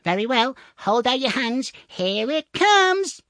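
A man acts out a thumb-sized storybook boy, pitched far up from a grown voice. He is plucky, impish and cheeky, piping his words boldly in a very dry recording. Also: normal speed, no echo, lightly acted. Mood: bawling.

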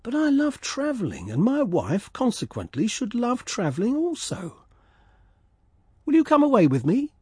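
A man voicing a small, fussy male swallow in a storybook reading, with a high, chirpy, self-important manner. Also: huge pitch swings, normal speed, darkish tone, husky, dry recording, clean studio sound, exaggerated voice. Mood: pleading.

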